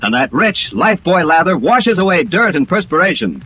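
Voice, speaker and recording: A man's voice: sits high, very animated, moderate pace. A man delivering a 1940s radio advertisement as announcer. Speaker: commercial announcer. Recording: muffled, faintly noisy old radio recording.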